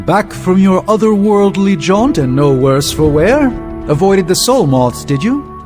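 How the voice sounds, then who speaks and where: Video game sorcerer: pompous, verbose, grandly theatrical, very lively pitch over prominent music. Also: male voice, measured pace. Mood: haughty, grand. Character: pompous, theatrical male sorcerer with lofty airs. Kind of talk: video game cutscene acting.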